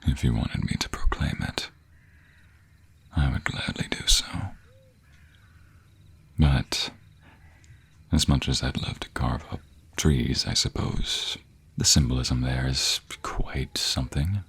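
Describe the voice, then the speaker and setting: A man playing a devoted, protective vampire boyfriend in a romantic audio roleplay, husky and breathy, speaking in ornate old-fashioned phrases close to a binaural mic. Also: fairly even intonation, slow pace, pauses often, fairly bright tone, heavily acted. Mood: tender, intimate. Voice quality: low, husky, breathy, often dropping to a whisper. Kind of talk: romantic audio roleplay acting, addressing the listener intimately. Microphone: close binaural microphone.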